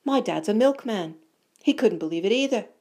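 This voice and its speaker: An eager little schoolboy played by a woman reader chattering in a raised pitch. He gushes breathlessly like a starstruck fan in a storybook, tumbling along with excitement. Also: normal speed, natural voice. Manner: excited, gushing.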